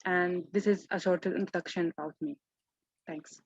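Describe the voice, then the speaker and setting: Casting: young woman from Gaza, lowish voice, hesitant panelist, dull dry call audio. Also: steady tone, vocal fry, breathy edge, no echo. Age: young adult. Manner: halting, frequent restarts. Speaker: student panelist. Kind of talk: online event talk. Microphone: video call.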